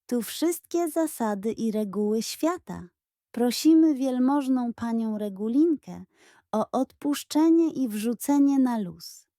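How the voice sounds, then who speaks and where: A woman voicing a prank loudspeaker that mimics a stately teacher in an exaggerated, declamatory way for a children's storybook. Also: fairly high pitch, expressive, slow, no room sound, clean studio sound. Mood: mock solemn. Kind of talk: children's audio storybook character acting.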